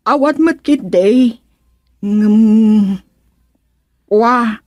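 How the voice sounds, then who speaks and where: A woman's voice: fairly high pitch, very slow, darkish tone, no room sound. A woman acting in a radio drama comedy as a recently widowed village woman, exasperated and pleading, with an Ilocano accent.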